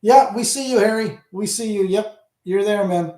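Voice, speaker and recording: A man's voice: high-pitched, moderate pace, mellow. Rambling Canadian man in an echoey room. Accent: Canadian, Southern Ontario. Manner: rambling, talks back to chat, trails off. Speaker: channel host. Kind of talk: casual livestream chat. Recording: roomy echo.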